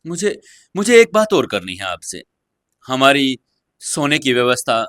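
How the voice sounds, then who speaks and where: A man voicing a calm, self-assured young woman in an audio story, speaking softly but decisively and plainly. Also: medium pitch, a little slow. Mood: hesitant, serious. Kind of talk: Hindi audio story dialogue.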